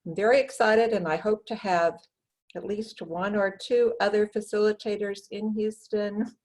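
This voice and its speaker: A woman's voice: mid-range voice, fairly even intonation, normal speed, darkish tone. A woman, a facilitator, casually introducing herself on a group call.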